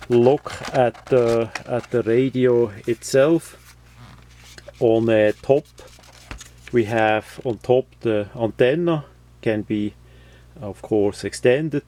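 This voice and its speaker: A man hosts a casual, unscripted product demonstration in a fairly low voice. He speaks very slowly, explaining one item after another in a halting way, with frequent fillers and repeated words. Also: mellow. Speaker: host of product unboxing videos.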